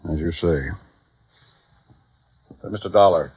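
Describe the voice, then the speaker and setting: A man's voice: low voice, a little slow, husky, no echo, exaggerated voice. An actor playing a proud, dying old father, grave and blunt, in 1950s radio drama style. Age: older. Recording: muffled vintage radio recording, dry.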